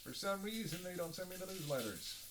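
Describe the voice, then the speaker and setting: A man's voice: high voice, expressive, a little fast, background noise. A man playing a sardonic, playful vampire who banters sarcastically with his hunter in an audio roleplay. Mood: incredulous, playful. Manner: sarcastic, playful, drawling asides with sighs and scoffs. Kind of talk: audio roleplay acting, one-sided dialogue.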